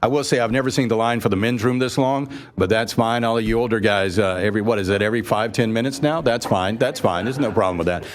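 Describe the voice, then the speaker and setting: A man's voice: on the low side, quick pace, hardly any pauses. An American man hosting a live radio show, talking to an audience in an effusive, rambling way.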